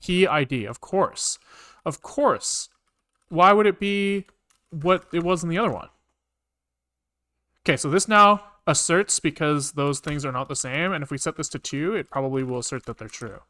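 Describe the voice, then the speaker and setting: A man's voice: on the high side, moderate pace, sharp tone, no echo. A man thinking aloud as he programs on a livestream, muttering code in fragments and swearing casually, close to a desk microphone.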